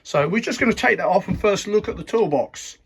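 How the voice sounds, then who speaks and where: A man with a croaky, husky voice talks through a tool review in a loose, rambling way. His voice sits a little high for a man, and he laughs at his own jokes often. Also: lively intonation, brisk, a bit bright. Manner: chatty, rambling, laughs at himself. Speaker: YouTube channel host. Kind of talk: casual tool review vlog.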